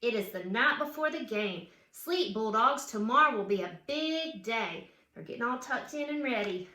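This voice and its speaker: A woman's voice: a little high, expressive, moderate pace, bright, forceful tone. A woman reads a children's picture book aloud with chatty asides, putting questions and emphatic cheers to young listeners. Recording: roomy, echoing room sound.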